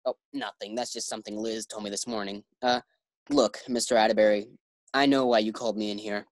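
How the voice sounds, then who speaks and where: Woman doing a nervous young hubby, super low voice, bumbling, 1940s radio comedy vibe. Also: steady tone, brisk, no echo. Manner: eager, bumbling. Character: young husband George, eager bank clerk.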